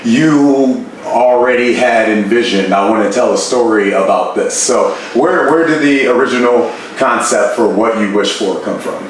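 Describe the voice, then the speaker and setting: A man's voice: mid-range voice, normal speed, few pauses, slight background noise. A man in his forties interviewing a filmmaker in an eager, chatty way full of fillers. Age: 40s. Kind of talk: casual interview chat. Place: festival venue. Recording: roomy echo, distant mic, faint ambience.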